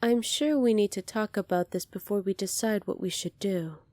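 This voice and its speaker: A woman playing a poised, kindly young alien princess in an ASMR roleplay, polite, formal and measured, soft-spoken with breathy moments. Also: mid-range voice, dry recording, lightly acted. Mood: stern, authoritative.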